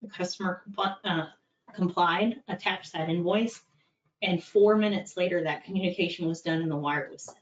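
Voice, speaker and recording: Low-voiced woman, echoey and distant. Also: moderate pace. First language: English. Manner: conversational, practical, with frequent fillers. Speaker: compliance executive presenting. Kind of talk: candid webinar talk. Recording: far-off echoey dull-sounding room.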